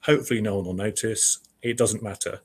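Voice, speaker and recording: A man's voice: fairly low pitch, fairly even intonation, fairly quick, darkish tone, husky. A man speaking as an interviewed writer, chatting casually and thoughtfully, a little hesitant, with self-deprecating humour.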